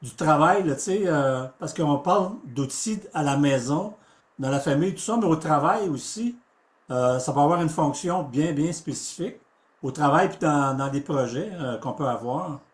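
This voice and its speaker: A man hosts a casual podcast, hesitating and searching for his words. His voice is slightly high, and the room around him rings. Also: animated, moderate pace. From Quebec. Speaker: podcast host. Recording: echoey room.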